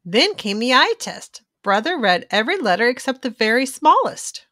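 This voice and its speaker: A woman with a fairly high voice narrates a children's story. Her delivery is very melodic and animated, shaped for young listeners, in a dry, close recording. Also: moderate pace, dry recording. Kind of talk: expressive scripted read-aloud narration.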